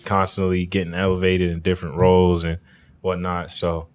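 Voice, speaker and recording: Young man, very deep voice, easygoing, casual podcast guest. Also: completely flat delivery, normal speed. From USA. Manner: easygoing, laughs often, drops slang. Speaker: guest, pro football player.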